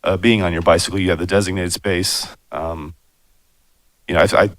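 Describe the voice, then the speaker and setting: A man with a raspy voice, hoarse from a cold, presenting a plan to a city commission in a loose, conversational way with lots of fillers. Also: deep voice, fairly even intonation, normal speed, fairly bright tone, no room sound. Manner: conversational, many fillers and asides. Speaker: bicycle and pedestrian planner presenting. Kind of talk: public meeting presentation.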